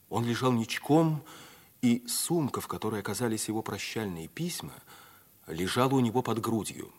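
An actor playing an earnest Soviet polar aviator in measured, declamatory 1950s radio theatre style. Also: male voice, medium pitch. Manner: measured, rhetorical, emotionally swelling delivery. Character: earnest Soviet polar aviator solemnly recounting his expedition.

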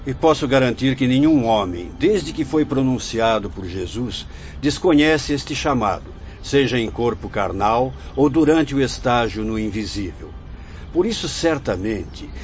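An actor playing a wise, solemn spirit mentor in a radio drama, instructing in formal, preachy phrases over music. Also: male voice, mid-range voice, a little mellow, a little hiss or hum, natural voice. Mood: solemn, exhorting. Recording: dull lo-fi audio under music.

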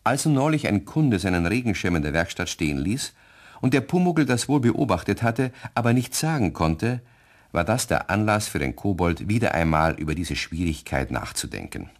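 A man with a low-pitched voice serves as the narrator of a German children's radio play. He delivers scripted storytelling in full, composed sentences. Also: big pitch swings, normal speed.

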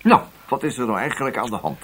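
An actor playing a flippant, sardonic intelligence agent in a Dutch radio play, casual and a little hesitant. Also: male voice, mid-range voice, animated, fast talker, a bit bright, slight background noise, natural voice. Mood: wry, sardonic. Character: wry, flippant male intelligence agent answering his boss.

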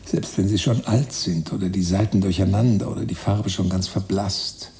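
An actor playing a wistful, romantic writer-narrator in a literary radio drama, musing deliberately in short phrases. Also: male voice, low voice, steady tone, creaky, dark tone. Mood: brooding. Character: wistful romantic male narrator-writer recalling his emigration voyage. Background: music.

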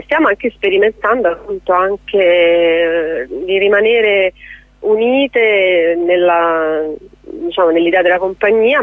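Donna quarantenne autoironica, audio radiofonico telefonico. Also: female voice, on the low side, no room sound. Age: 40s. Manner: self-deprecating, joking, digressive, laughs often. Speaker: theatre playwright, director and actress, interview guest. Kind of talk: casual, chatty radio interview. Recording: band-limited, telephone-like radio sound.

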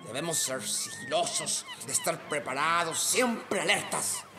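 An actor playing a haughty, exasperated pirate captain in a radio play, pompous and scolding. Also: male voice, on the high side, wildly swooping pitch, measured pace, background noise, exaggerated voice. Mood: angry, commanding. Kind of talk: Spanish-language radio play acting.

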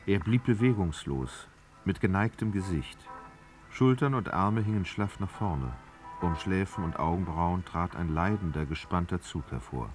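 A man narrating a literary radio drama in a measured, articulate, scripted manner. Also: low-pitched, lively intonation, soft background music.

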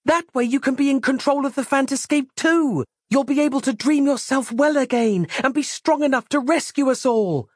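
A man's voice: extremely high pitch, normal speed, few pauses, no room sound, clean studio sound, voice pushed up. A man voicing a desperate, overwrought woman in a melodramatic horror audiobook. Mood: urgent pleading.

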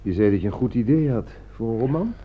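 An actor in a Dutch radio play playing a level-headed writer who is dry, dismissive and mildly mocking. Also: male voice, medium pitch, lively intonation, quick pace, close to an everyday voice. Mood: amused, sociable. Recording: dull, noisy old radio play recording.

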